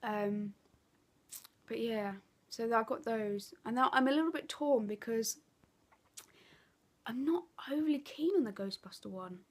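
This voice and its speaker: A woman's voice: a little high, measured pace, long pauses. A woman with an Essex accent and a blocked, stuffy nose, chatting casually to camera as a craft vlogger, rambling with lots of ums and self-corrections. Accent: Essex English. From England.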